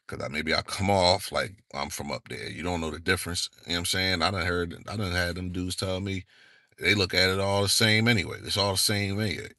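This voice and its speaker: A young man with a very low, gravelly voice talks in a loose, rambling way, circling back to his points with plenty of slang and fillers. It sounds dry and close. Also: fairly even intonation, fairly quick, bright, forceful tone, dry recording. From northeastern United States. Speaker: channel host. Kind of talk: casual monologue vlog.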